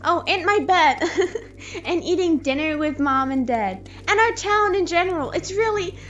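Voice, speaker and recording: Woman as a high-voiced boyish monster kid. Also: animated, normal speed, fairly bright tone, highs cut off, a little hiss or hum. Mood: wistful. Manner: slangy, boyish, says dude and yo. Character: friendly, excitable young boy monster kid. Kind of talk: audio drama acting.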